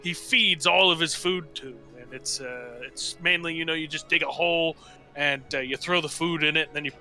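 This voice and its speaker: High-pitched, bright-voiced man improvising haltingly. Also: faint music behind. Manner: halting, hesitant delivery with tongue-in-cheek humor. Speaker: player in a tabletop roleplaying game. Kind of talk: improvised casual character description.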